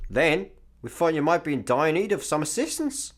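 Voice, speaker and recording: An actor playing a chirpy, wordy intergalactic layabout with breezy, mock-grandiose patter in a sci-fi comedy radio play. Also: male voice, a little high, very animated, normal speed. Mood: breezy, cheerful.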